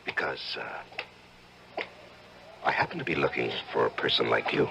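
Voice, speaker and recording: Very deep-voiced, gravelly secret killer. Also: male voice, measured pace, bright, forceful tone, a little hiss or hum, exaggerated voice. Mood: menacing, coaxing. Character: outwardly genial, secretly murderous married man, coldly exhilarated by killing. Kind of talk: old-time radio drama acting.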